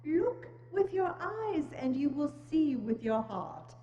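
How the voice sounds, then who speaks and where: A woman's voice: sits high, big pitch swings, takes it slowly, mellow, exaggerated voice. A woman playing a solemn, caring female spirit in a stately, declamatory stage reading. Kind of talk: theatrical dramatic reading. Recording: echoey church hall, dull sound, faint noise.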